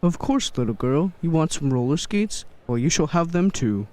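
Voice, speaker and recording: A man's voice: a little high, big pitch swings, moderate pace, darkish tone. An actor playing a jolly, kindly elderly Santa Claus in a radio play, genial and grandfatherly. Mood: kindly, generous.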